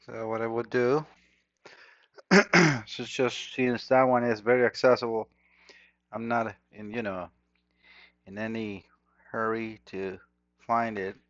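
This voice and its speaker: A man's voice: mid-range voice, steady tone, slow, long pauses, a bit bright, dry recording. A man from Costa Rica with a Costa Rican Spanish accent, a native Spanish speaker, thinking aloud as he works through a hands-on electronics repair at his bench. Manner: muttering to himself while working, informal. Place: repair workbench indoors. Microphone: action camera mic. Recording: dull lo-fi action camera audio.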